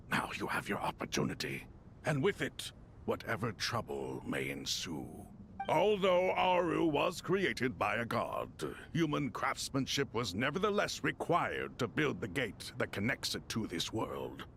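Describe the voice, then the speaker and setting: Casting: formal ancient game spirit, gravelly, swooping intonation, faint rumbling noise. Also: male voice, fairly bright tone, heavily acted. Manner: measured, archaic, ceremonious phrasing. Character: ancient solemn retainer spirit, formal and judicious. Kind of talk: video game voice acting.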